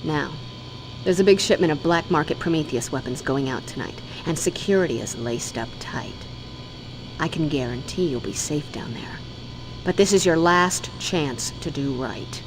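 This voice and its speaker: A scornful, lower-voiced crime queen. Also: animated, moderate pace, over music, rough recording. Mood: menacing scorn. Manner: contemptuous, menacing, commanding. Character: cruel domineering female crime boss Lucrecia. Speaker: crime boss.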